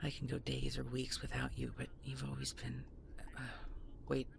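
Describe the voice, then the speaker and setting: A woman playing a protective, devoted supernatural girlfriend in an intimate audio roleplay, breathy and halting, often near a whisper. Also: a bass voice, monotone, slight background noise, full character voice. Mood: tender.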